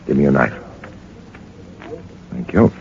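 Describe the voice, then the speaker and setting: An actor playing a brisk, commanding gentleman adventurer in a 1940s radio drama, clipped and authoritative. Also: rumbling low, lively intonation, creaky, heavily acted. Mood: brisk, knowing. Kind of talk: 1940s radio drama acting and first-person narration. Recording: muffled, noisy 1940s radio recording.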